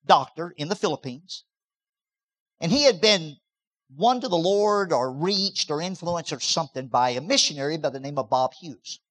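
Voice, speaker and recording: A man's voice: a little high, a bit bright. A man, preaching a sermon in an emphatic style, with repeated words and rising bursts. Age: 60s. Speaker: pastor.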